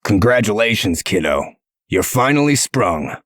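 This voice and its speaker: An actor playing a gruff, blunt, sardonic and protective man in a comic dub. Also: fairly low pitch, big pitch swings, measured pace, no echo, studio quality. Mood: wry, steady.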